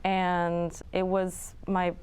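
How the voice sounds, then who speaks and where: A young woman from New York and Florence, answering interview questions about her film in a relaxed, chatty way with fillers and small laughs. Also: fairly low pitch, very slow, smooth. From New York City and Florence. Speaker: filmmaker guest. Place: studio.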